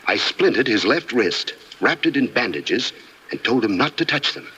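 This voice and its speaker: Grave doctor, forceful and animated. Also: male voice, mid-range voice, breathy edge, highs cut off, full character voice. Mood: grave, explaining. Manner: measured, explanatory. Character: grave, sensible doctor and concerned friend. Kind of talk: old-time radio drama acting. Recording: vintage radio recording, faint noise.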